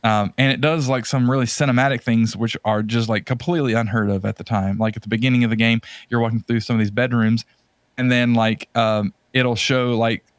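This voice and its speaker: A man's voice: mid-range voice, fairly even intonation, fairly quick, smooth, no echo. A man chatting casually as a podcast host, rambling and enthusiastic about his subject. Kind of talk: casual chat about retro games and movies.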